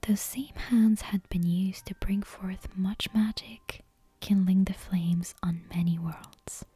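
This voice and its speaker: A woman softly whispering a bedtime story in an ASMR style, close to the microphone. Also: medium pitch, fairly even intonation, takes it slowly, husky, no room sound. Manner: soft, unvoiced whispering.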